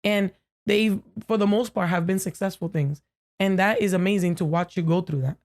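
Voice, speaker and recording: A woman co-hosting a podcast with a deep voice, talking earnestly to a friend and often restarting her sentences. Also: darkish tone. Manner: earnest, halting with many restarts. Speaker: podcast co-host. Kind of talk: casual heartfelt chat. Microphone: podcast microphone, no camera.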